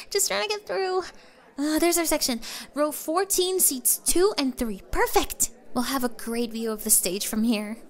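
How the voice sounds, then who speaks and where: Girl doing a giddy young concert fangirl, high voice, gushy and chatty, audio roleplay. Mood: giddy, hurried. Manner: gushing, breathless enthusiasm. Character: excitable young female superfan, chatty and gushing, at a concert. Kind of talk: ASMR roleplay acting, speaking to the listener.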